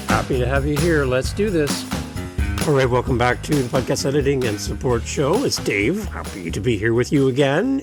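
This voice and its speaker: A man's voice: mid-range voice, no echo. Canadian male podcast host, chatty and rambling, dry close-mic sound, mid-range voice. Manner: chatty and rambling, with light humor. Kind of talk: solo podcast monologue.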